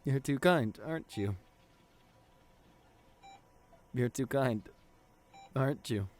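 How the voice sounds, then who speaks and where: Male actor as grieving, devoted boyfriend for intimate ASMR audio drama: medium pitch, tender, stammering delivery. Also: animated, a little mellow, lightly acted. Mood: pained, tender. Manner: halting, tender, stammering with emotion. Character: devoted, grieving boyfriend visiting his comatose partner in hospital.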